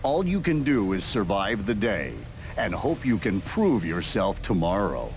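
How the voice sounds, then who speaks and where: A man's voice: lowish voice, big pitch swings, measured pace, a little hiss or hum. A man narrating a motorcycle workshop show in a scripted, dramatic style.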